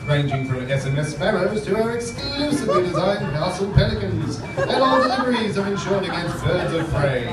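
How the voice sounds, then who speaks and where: A man playing an announcer in a comic stage radio serial, narrating in parody melodramatic style. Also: high-pitched. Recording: echoey theatre, distant mic, loud music.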